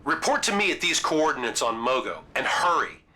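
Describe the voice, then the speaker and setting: Forceful, urgent space-corps officer. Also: male voice, on the high side, big pitch swings, a little fast, a little hiss or hum, exaggerated voice, poor audio. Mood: urgent, stern. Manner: forceful, military. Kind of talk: video game voice acting.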